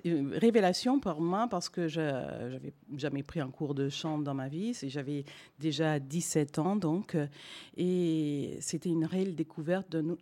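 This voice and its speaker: A woman's voice: low voice, warm tone. A middle-aged woman whose first language is English, talking to an audience about her path as a singer, hesitant with many fillers and restarts. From Canada. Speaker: guest singer and voice teacher. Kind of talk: spontaneous talk to an audience.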